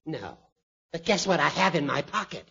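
An actor playing a genial, teasing old judge in a radio comedy, with a wry and rather flowery way of talking. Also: male voice, fairly high pitch, big pitch swings, moderate pace, vocal fry, pushed and bright, full character voice. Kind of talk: 1940s-50s radio comedy acting. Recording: muffled, lo-fi old radio recording.

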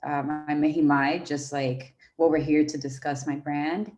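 A young woman from Venezuela, a fashion brand founder, talking as a podcast guest in a relaxed way with many fillers. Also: deep voice, a little slow. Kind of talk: casual interview chat.

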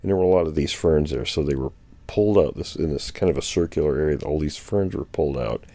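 Very deep-voiced man recounting field finds. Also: fast, warm tone, dry recording. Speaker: guest anthropologist. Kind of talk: casual podcast chat.

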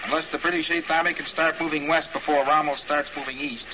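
Guy doing sailor reading news, bit high pitched, matter of fact, noisy 40s radio. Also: fairly even intonation, quick pace, lightly acted. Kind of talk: 1940s radio acting, news bulletin delivery. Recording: noisy vintage radio recording.

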